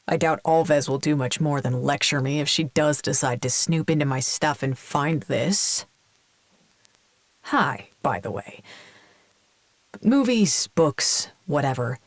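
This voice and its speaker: A woman's voice: deep voice, no room sound. A woman acting in an audio drama as a wry, jaded worker, giving a personal log in a sardonic, conversational way.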